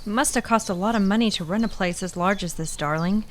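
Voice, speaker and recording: Woman acting practical wife, medium pitch, gently teasing, natural radio play dialogue, faint ambient noise. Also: fast, close to an everyday voice. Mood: musing. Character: practical, fondly exasperated wife, keen amateur photographer.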